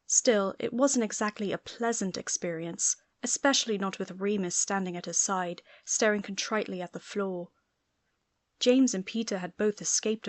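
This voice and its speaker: A woman narrates a story as a scripted audiobook. Her voice sits at a medium pitch, in a close recording with no room sound. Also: no echo.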